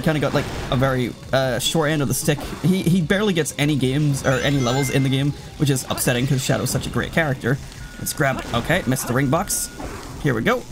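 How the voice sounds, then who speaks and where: A man giving casual, rambling game commentary, chatting to the game as he plays. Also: mid-range voice. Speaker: let's player commentating a game. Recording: loud game music behind voice. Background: video game music.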